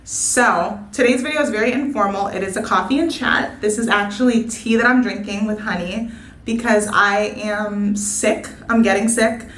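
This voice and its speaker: A woman's voice: mid-range voice, moderate pace, a bit bright, reverberant. A young woman, a nursing student, chatting casually on her vlog while coming down with a sore throat. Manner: chatty, candid, self-deprecating. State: getting sick, sore throat. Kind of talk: casual coffee-and-chat vlog.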